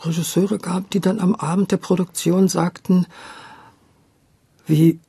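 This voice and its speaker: Older man, husky creaky mellow voice. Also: high voice, flat delivery, measured pace, no room sound. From Berlin, Germany. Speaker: interviewee, radio drama director. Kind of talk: reminiscing in a conversational interview.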